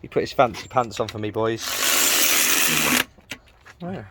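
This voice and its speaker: A croaky-voiced man filming his van build, chatting casually and asking questions while working in a garage workshop. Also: lowish voice, expressive, measured pace, very noisy. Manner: chatty, jokey, asks lots of questions.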